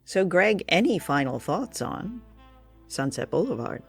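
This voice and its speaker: A woman's voice: fairly low pitch, big pitch swings, a little slow, creaky, faint music behind. A woman hosting a radio show and podcast in a conversational style.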